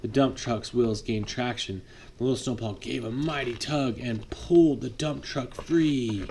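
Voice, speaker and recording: Dad reading a bedtime story aloud. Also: male voice, medium pitch, unhurried, gravelly, slight background noise. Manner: lively read-aloud, voices for the trucks, chats with the child. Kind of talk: reading a picture book aloud to a child.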